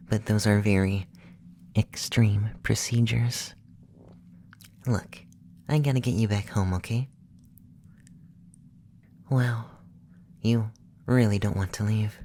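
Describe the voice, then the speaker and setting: A performer acts out an alien examiner in an intimate ASMR roleplay. The voice is dark, relaxed and measured, often dropping to a whisper, with a clinical yet teasing, indulgent manner. It is close to the mic over faint ambient noise. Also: mid-range voice. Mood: incredulous.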